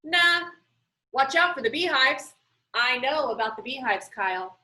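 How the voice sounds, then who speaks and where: A spirited seventh-grade girl from a storybook , read by a woman. She talks casually and excitably, bright and high, with lively swings in pitch. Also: a little slow, roomy sound, full character voice. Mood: absorbed.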